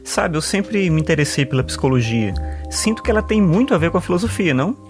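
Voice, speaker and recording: A man's voice: a little high, lively intonation, fast talker. A man playing a talkative male philosopher and sociology teacher in an audio drama, earnest and fond of quoting thinkers, with faint music underneath. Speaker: patient.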